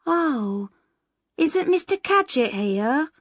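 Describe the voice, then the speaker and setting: A woman doing a jittery, hopeful actress with a high, lilting voice that leaps around as she chats. She sounds eager and over-bright. The recording is close and dry. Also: very animated, slow, dry recording, heavily acted. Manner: eager, breathless, forced brightness.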